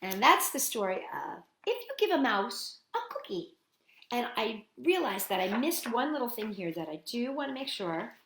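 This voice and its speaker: Very lively, high-voiced woman reading to children. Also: normal speed, roomy sound, slight background noise. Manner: animated, playful, chatty asides to young listeners. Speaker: children's storytime host. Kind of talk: picture-book read-aloud for young children.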